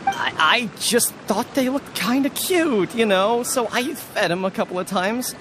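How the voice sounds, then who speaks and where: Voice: mid-range voice, lively intonation, unhurried, lightly acted. A voice actor playing a sheepish young village man who stammers and dodges blame. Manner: evasive, stammering, shrugging. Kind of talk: game voice acting. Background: game music.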